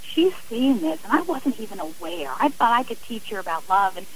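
Higher-voiced woman, earnest and emphatic. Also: very animated, a little fast, a little hiss or hum, rough recording. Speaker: interview guest, author and abuse survivor. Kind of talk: radio interview, personal testimony.

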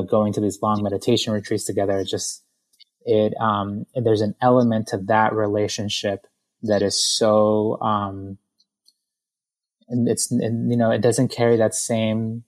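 A man in his thirties from Ecuador, a podcast guest talking thoughtfully and softly, with frequent fillers and restarts. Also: on the low side. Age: 30s. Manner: thoughtful, soft-spoken, many fillers and restarts. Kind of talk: reflective conversational interview.

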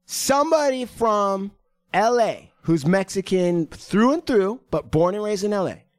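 Lively, swearing podcast host man. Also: sits high, unhurried, no room sound, studio quality. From United States. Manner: animated, profane, pushy questioning. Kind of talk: casual chat, interviewing. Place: podcast studio.

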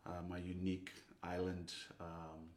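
A singer-songwriter from Fiji, a man, talking softly and casually between songs in a brewery barrel house. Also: monotone, a little slow. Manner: soft-spoken, low-key. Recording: roomy brewery hall echo.

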